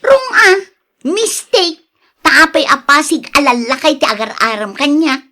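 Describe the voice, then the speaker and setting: A woman's voice: high voice, animated, unhurried, bright, forceful tone, exaggerated voice. A woman acting an exasperated, sighing younger village woman in an Ilocano radio drama, with an Ilocano accent.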